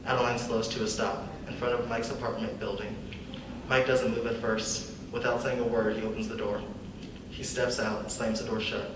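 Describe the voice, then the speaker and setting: A man's voice: lowish voice, flat delivery, moderate pace. A man reading scripted stage directions as a narrator in a live reading. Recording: distant echoey room, clear background noise.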